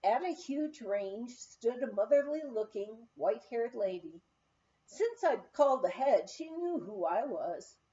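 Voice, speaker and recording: Female memoir reader, unhurried and mellow, expressive storytelling delivery, slightly lo-fi recording. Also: a little high. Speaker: audiobook reader.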